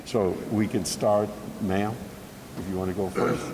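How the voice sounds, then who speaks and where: A man leading a ceremony, speaking in halting formal remarks to new appointees. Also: on the low side, fairly even intonation. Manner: halting, searching for words. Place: press conference hall. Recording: noisy room ambience.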